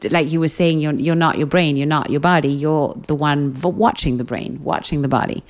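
Guest, British woman, low and calm, soothing hypnotist delivery. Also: brisk. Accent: British English. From Britain. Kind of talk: interview conversation.

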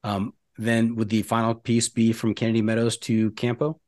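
A man's voice: on the low side, flat delivery, normal speed, a little mellow, no echo. A man with an American accent hosting a casual interview, asking curious questions and bantering with his guest. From United States. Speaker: podcast host. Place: studio.

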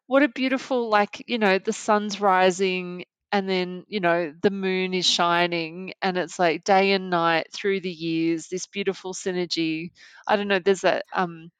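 A woman with a slightly low voice chats as co-host of a fan podcast. Her manner is gushing and appreciative. The recording is very dry, with no room sound. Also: fairly even intonation, a little slow, clear, resonant tone, no echo. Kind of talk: casual fan podcast chat.

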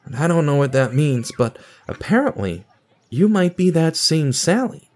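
A man's voice: fairly high pitch, sing-song, moderate pace. A man playing an awkward, apologetic, burnt-out office worker in an audio drama, speaking hesitantly and earnestly. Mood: earnest, uneasy.

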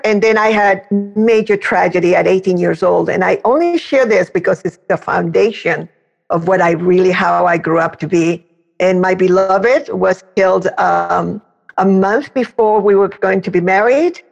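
Gravelly older woman from Chile. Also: medium pitch. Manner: warm, candid storytelling. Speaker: business educator guest. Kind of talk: storytelling in conversation. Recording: dull audio with long reverb.

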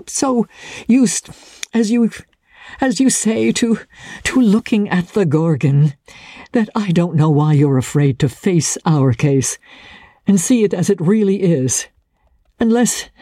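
A woman reading a dramatic audiobook, voicing an impassioned gentleman who pleads urgently and haltingly with his beloved, in a husky voice. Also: on the low side, dry recording, studio quality.

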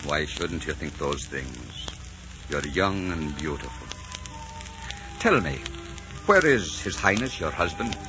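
An actor playing a bold, ardent Scottish lord in a theatrical, romantic 1940s radio drama style. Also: male voice, rumbling low, big pitch swings, takes it slowly, pauses often, music in the background, exaggerated voice. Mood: tender. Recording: dull 1940s radio broadcast recording.

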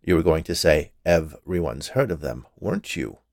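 A fussy little male health inspector from an audiobook, acted out by a man. He speaks in a lowish voice, recorded close and dry. He is stern, officious and easily annoyed, giving curt answers. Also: lively intonation, brisk, gravelly, a little mellow, no room sound. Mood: curt, irritated. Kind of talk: audiobook character voice.

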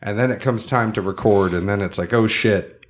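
A man's voice: lowish voice, moderate pace. A man with a nasal voice co-hosting a casual podcast, chatting loosely and running through sponsor plugs. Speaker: podcast co-host.

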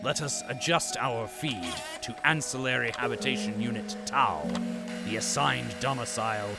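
An actor playing a formal, arch narrator who addresses the listener in a scripted audio drama. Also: male voice, medium pitch, moderate pace, vocal fry, lightly acted. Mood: arch, grand. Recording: loud music behind the voice.

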